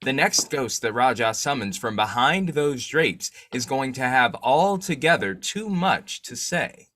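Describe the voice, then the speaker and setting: A man playing a shrewd, determined newspaper boss and secret vigilante in a 1940s-style radio drama, musing deliberately. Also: medium pitch, expressive, pushed and bright. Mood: scheming, musing. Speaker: actor in an old-time radio drama revival.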